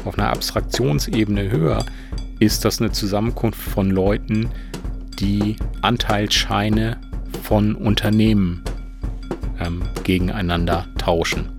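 A man explains concepts with care and some hesitation, like an expert. His voice is low and gravelly, with music and clinking behind it. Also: unhurried. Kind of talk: careful, hesitant definition-giving.

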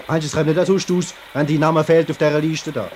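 An actor playing a pushy, plain-spoken factory worker with a Swiss German accent in a 1950s radio play, nagging in colloquial speech. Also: male voice, a little high, fairly even intonation, fairly quick, a little mellow, lightly acted. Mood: indignant. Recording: old radio recording with background noise.

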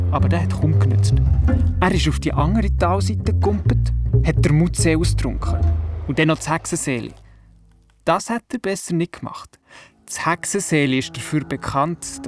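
A performer playing an excitable young boy who retells his dream vividly and naively in a children's radio play. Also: mid-range voice, lightly acted. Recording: music behind the voice. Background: music.

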